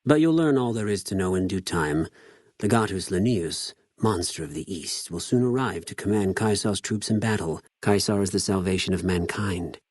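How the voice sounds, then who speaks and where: An actor playing a cold, zealous, contemptuous legion spy in a video game, speaking formally with measured condescension. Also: male voice, deep voice, darkish tone, no room sound, clean studio sound. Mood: cold reverence. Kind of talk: scripted video game character dialogue.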